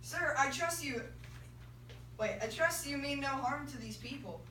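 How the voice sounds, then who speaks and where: Teen girl as a high, urgent peacemaker. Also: measured pace, sharp tone. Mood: pleading. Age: young teenager. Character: urgent colonial peacemaker merchant pleading for calm. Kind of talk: student dramatic reading. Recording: echoey classroom, far from mic, some noise.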